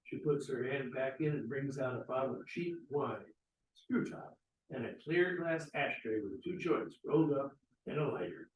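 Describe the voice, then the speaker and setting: A man's voice: mid-range voice, normal speed. A man narrating as the reader of stage directions, in a scripted style. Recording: roomy, echoey, distant microphone.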